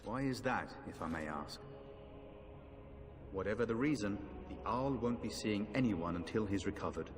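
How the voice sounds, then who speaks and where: A man playing an earnest, dispirited knight in a fantasy game, speaking in a formal, measured, grave way. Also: lowish voice, animated, natural voice. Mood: weary, doubtful. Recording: long hall reverb, faint music behind.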